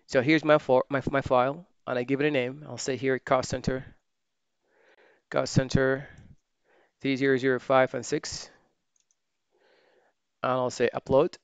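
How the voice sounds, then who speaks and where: A man giving a screen-recorded software tutorial, thinking aloud as he types and often correcting himself. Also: mid-range voice, unhurried, gravelly, long pauses, clean tone, dry recording, lo-fi sound. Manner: relaxed, thinking aloud while typing, many fillers and self-corrections. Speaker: software trainer.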